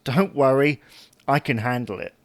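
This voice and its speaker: An actor playing an exasperated, put-upon husband in a comedy audio drama, bickering with indignant sarcasm. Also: male voice, mid-range voice, moderate pace, no room sound, close to an everyday voice. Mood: conciliatory.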